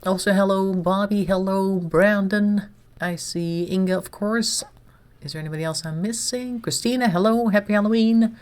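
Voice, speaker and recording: A woman's voice: mid-range voice, fairly even intonation, moderate pace, a little mellow. A woman streamer, slightly congested from a cold, chatting casually to herself and her viewers as she plays a game. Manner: chatty, talks to herself while managing the colony.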